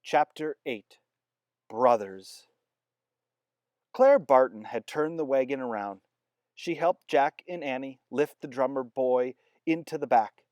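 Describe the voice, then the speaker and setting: Man, children's book read-aloud, expressive storytelling, dry close sound. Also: medium pitch, slow, no echo. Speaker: narrator.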